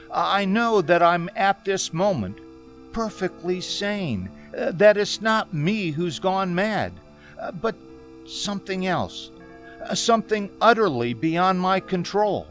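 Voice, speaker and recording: A man playing an anxious man in his thirties in an old-time radio drama, speaking haltingly. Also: high-pitched, big pitch swings, a bit bright, faint music behind, full character voice. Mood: anxious, urgent. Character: anxious 36-year-old man recounting a haunting road trip.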